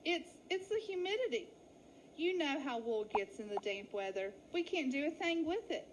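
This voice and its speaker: A woman's voice: high voice, very animated, normal speed, noisy background. A woman voicing a sly male wolf who poses as a sweet, coy lady sheep in a children's storybook. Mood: flustered. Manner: coy, sugary, simpering. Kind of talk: children's storybook read-aloud.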